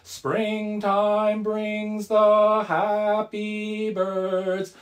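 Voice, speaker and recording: A mid-pitched voice recites devotional verses very slowly and solemnly. The phrasing is measured and often drifts into sing-song chant, like someone leading a Buddhist service, with music present. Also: completely flat delivery.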